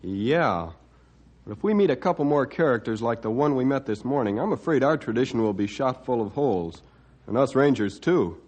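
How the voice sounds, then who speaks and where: An actor playing a good-natured, folksy, complaining forest ranger sidekick in an old-time radio drama. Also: male voice, mid-range voice, lively intonation, moderate pace, a little mellow, close to an everyday voice. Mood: wry. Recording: noisy old radio recording.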